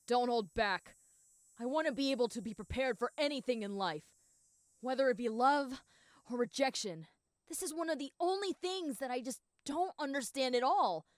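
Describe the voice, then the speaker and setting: A woman acts out a proud schoolgirl rival in an intimate audio roleplay. Her voice sits fairly high and moves very slowly, with halting, earnest pauses. She is blunt and competitive but easily flustered, recorded very dry. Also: no echo. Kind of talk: roleplay audio acting addressed to the listener.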